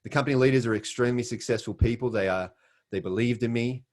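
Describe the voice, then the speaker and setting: A man's voice: mid-range voice, quick pace, darkish tone. A middle-aged Australian man giving an earnest, scripted sales presentation, with frequent "uh" fillers.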